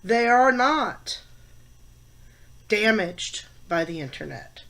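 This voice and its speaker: A woman in her fifties with a head cold, stuffed up, giving her opinions in a casual class vlog and stressing words between pauses and fillers. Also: medium pitch, expressive, a bit bright. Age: 50s. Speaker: college teacher and student.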